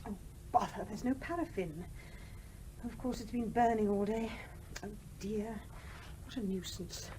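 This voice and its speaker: An actress playing a small, genteel, determined English widow in a radio play, speaking in a polite, proper manner. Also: female voice, medium pitch, measured pace, long pauses, dark tone, close to an everyday voice. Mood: fretful. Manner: polite, well-spoken, proper. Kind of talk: 1970s radio play acting. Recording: noisy old radio drama recording.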